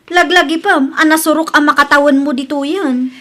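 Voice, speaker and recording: A woman's voice: sits high, fairly even intonation, fairly quick, a bit bright. A woman playing a concerned, sensible cousin who gives firm advice in a radio drama, with an Ilocano Filipino accent. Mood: concerned, advising.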